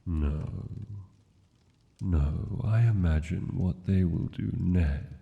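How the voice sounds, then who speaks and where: A tender, possessive dragon king, acted by a man, speaks in a very low, extremely slow voice. His manner is courtly and intimate, as in a fantasy roleplay, and the muffled sound hangs in a long hall-like reverb. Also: gravelly, heavily acted. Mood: wry, calm. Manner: formal, unhurried, courtly endearments.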